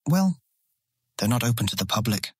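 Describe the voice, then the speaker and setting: An actor playing an earnest, awkward and easily charmed guild guard in a fantasy audio drama, eager to please. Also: male voice, mid-range voice, big pitch swings, normal speed, breathy edge, no room sound, studio quality. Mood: hesitant, hopeful.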